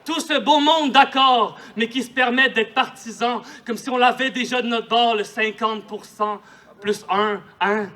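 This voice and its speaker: A man's voice: very high voice, measured pace, sharp tone. A man with a Quebec French accent reciting impassioned spoken-word poetry in a colloquial, declamatory way at a public celebration. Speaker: poet. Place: outdoors in a park.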